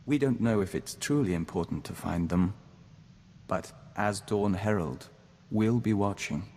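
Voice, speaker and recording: An actor voicing a calm, formal young man joined to an insect hive mind in a video game, speaking in a measured way as "we". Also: lowish voice, big-room sound, slight background noise. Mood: earnest, dreamy. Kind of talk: scripted video game voice acting.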